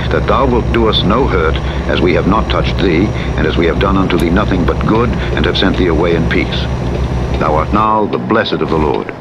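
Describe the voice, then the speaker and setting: A man narrating the Bible as a scripted reading, in a solemn, measured manner. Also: low-pitched, highs cut off. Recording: loud truck engine noise over playback. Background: virtual truck driving sounds from a simulator game.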